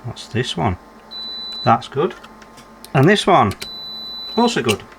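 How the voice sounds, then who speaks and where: A man's voice: medium pitch, big pitch swings, takes it slowly, fairly bright tone, a little hiss or hum. A man giving unscripted running commentary as he works on a repair, musing aloud and putting questions to himself with dry, understated humour. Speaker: electronics repairer presenting a repair video.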